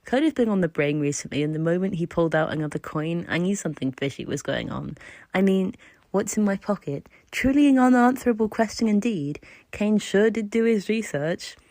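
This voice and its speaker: A woman's voice: medium pitch, lively intonation, fairly quick, a little mellow, dry recording. A woman leaving a casual listener voice message, irreverent and tongue-in-cheek.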